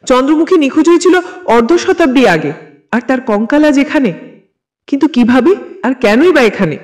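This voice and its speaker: A man's voice: extremely high pitch, animated, moderate pace, a little mellow. A man reading a scripted first-person horror story in a dramatic, suspenseful storytelling manner.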